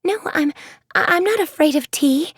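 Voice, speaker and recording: A woman's voice: high-pitched, a little slow, mellow, no room sound, studio quality, pitched above the performer's own voice. A woman voicing a shy, nervous young mermaid girl who stammers and hesitates. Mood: flustered.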